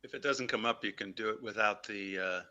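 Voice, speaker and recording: A man's voice: on the low side, fairly quick, bright, forceful tone, lo-fi sound. A man chatting casually on a livestream, offering helpful step-by-step suggestions.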